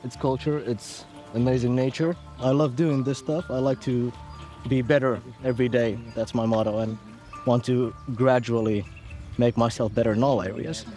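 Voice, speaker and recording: Mellow young man from Finland. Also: mid-range voice, steady tone, normal speed, faint music behind. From Helsinki, Finland. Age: 20s. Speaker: military training volunteer. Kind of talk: interview answers.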